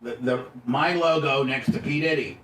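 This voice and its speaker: A man with a bright, forceful voice chats loosely and bluntly. He sounds away from the mic in a roomy space, with faint noise. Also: medium pitch, normal speed, distant mic. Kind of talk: casual off-the-cuff studio chat.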